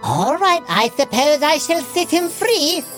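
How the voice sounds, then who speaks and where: A cackling, scheming witch from a children's story, played by a woman reading aloud, with a very high voice that swoops wildly. She stretches her words out slowly and theatrically. Music plays softly behind her. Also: very animated. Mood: haughty. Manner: drawn-out, sing-song and theatrical.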